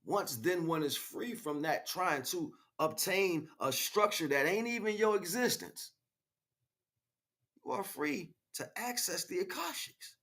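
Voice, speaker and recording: An American man giving an emphatic, freestyle spiritual monologue in street slang, repeating phrases as he goes, with a husky voice. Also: high voice, animated, a little slow, a bit bright. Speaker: spiritual livestream speaker.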